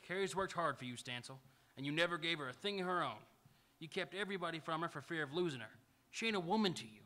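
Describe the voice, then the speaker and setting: An actor playing a gallant, stubborn unarmed cowboy in a live radio Western, speaking in folksy rural style. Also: male voice, on the high side, fast talker, pushed and bright. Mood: earnest, defiant. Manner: folksy rural speech, polite but stubborn. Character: earnest, gallant, unarmed cowboy standing up for his sweetheart. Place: auditorium stage, live radio theatre performance.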